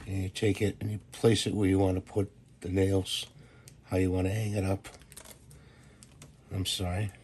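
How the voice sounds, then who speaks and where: A hoarse man with a very low voice talks through a do-it-yourself hack in plain, easygoing steps, like the host of a home repair channel. A faint hiss sits under his voice. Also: level tone, a little mellow. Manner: plain, unhurried instructions with fillers. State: hoarse from a cold. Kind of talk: casual how-to demonstration.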